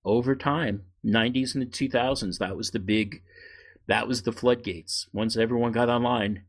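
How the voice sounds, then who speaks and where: A man's voice: mid-range voice, steady tone, moderate pace. A middle-aged man hosting a podcast, telling stories in a casual conversational way and acting out bits of remembered dialogue. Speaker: podcast host.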